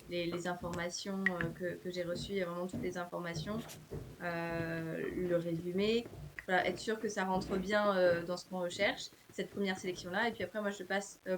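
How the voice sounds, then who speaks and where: Woman, publisher guest, halting speech full of fillers, faint hiss on the line. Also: lowish voice. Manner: hesitant, many fillers. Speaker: publisher and author. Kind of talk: casual interview answers.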